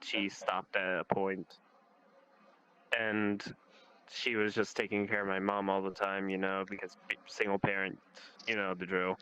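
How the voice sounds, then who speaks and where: A man in a casual online chat, speaking in halting, low-key phrases as he shares personal stories. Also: level tone, bright, forceful tone, smooth, low-quality recording. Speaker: host.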